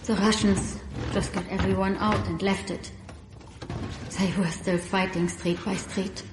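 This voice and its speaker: A woman's voice: medium pitch, little pitch movement, unhurried, heavy background noise, close to an everyday voice. An actress playing a blunt, matter-of-fact Hungarian-born woman operative guiding people around in a radio drama.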